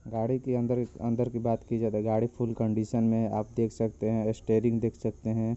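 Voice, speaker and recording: A man's voice: lowish voice, completely flat delivery, brisk, mellow, clean tone. A man narrating a walkthrough of car interiors, plainly listing parts one after another. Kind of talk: voice-over walkthrough. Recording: dull lo-fi sound with faint insects.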